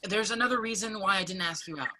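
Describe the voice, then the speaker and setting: An actor playing a charming, earnest college soccer player in a virtual reading of a stage play. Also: male voice, high voice, fast talker, sharp tone, a little hiss or hum. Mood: confessional. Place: remote virtual reading over video call.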